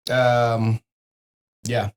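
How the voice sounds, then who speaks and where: A man's voice: mid-range voice, flat delivery, very slow. A man hosting a podcast in casual chat, telling stories informally with plenty of slang and swearing. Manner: profane, slangy, informal storytelling. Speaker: podcast host.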